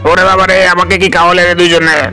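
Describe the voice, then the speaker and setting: A man voicing an excitable, hapless cartoon animal husband with dramatic, exclaiming delivery. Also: high-pitched, very fast, natural voice. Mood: exasperated. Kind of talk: exaggerated cartoon voice acting. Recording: music behind the voice. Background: music.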